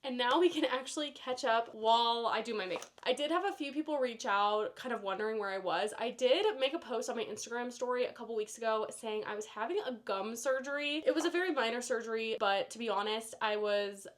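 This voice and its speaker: A woman's voice: fairly high pitch, a little fast, hardly any pauses. A woman chatting casually to camera while doing her makeup. She sounds slightly nasal and stuffed up from a cold, and she rambles with lots of "like" and "you know.". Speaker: beauty vlogger.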